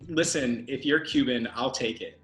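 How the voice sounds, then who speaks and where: A man's voice: mid-range voice, fairly bright tone. Man in his 30s, upbeat interview host, echoey room, faint music behind. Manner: eager, upbeat, quick to laugh. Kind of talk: casual video-call interview. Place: home room. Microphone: video call, laptop camera. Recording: echoey room on a video call.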